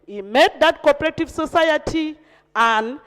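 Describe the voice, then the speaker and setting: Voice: high voice, a little slow, a bit bright. A member of parliament with a Ugandan accent, telling a formal tribute in a narrative way. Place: large parliamentary chamber. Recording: echoey hall with long reverb.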